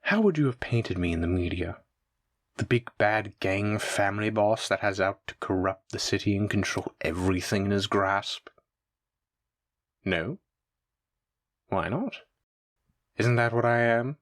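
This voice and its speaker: A man's voice: on the low side, dry recording, clean studio sound, lightly acted. A man playing a suave, menacing mafia boss in a roleplay audio drama, with a theatrical, teasing and condescending manner. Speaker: voice actor. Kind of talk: scripted roleplay audio drama addressed to listener.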